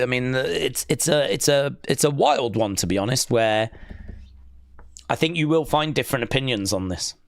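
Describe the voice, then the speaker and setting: A man's voice: mid-range voice, fairly bright tone, dry recording, clean studio sound. A man hosting a live wrestling stream, chatting loosely and reading out chat, his voice weak as if from a cold. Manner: rambling, hesitant, reads chat aloud. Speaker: wrestling watch-along streamer.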